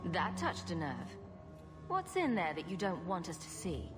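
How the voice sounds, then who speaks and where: Blunt, dry young heroine, game cutscene with light music. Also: female voice, lowish voice, very animated, measured pace.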